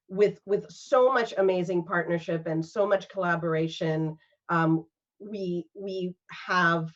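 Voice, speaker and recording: A woman from Singapore, an arts fund organizer, speaking earnestly and from the heart in a panel conversation over a video call. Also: lowish voice. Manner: earnest, heartfelt, with frequent fillers. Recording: dull lo-fi video call audio.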